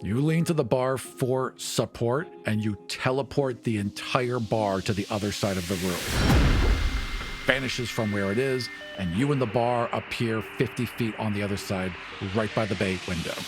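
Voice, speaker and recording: A man narrating a tabletop game as game master, with emphatic, vivid and deliberate storytelling. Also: lowish voice. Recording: music bed behind the voice.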